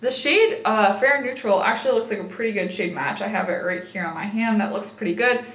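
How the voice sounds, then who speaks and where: A young woman talks through her makeup haul in a relaxed, chatty way, thinking aloud as she tries things on. Her voice is a little raspy and tired from a late night, pitched in an ordinary middle range. The room around her adds a noticeable echo. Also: brisk. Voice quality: slightly raspy. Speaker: beauty vlogger. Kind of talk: casual makeup haul and try-on.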